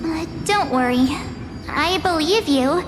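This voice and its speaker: Game casting: teenage girl adventurer, cheerful, loyal, earnest. Extremely high pitch, unhurried delivery. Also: animated, background noise, full character voice. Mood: warm, determined. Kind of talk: anime game voice acting. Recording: long reverb, music behind.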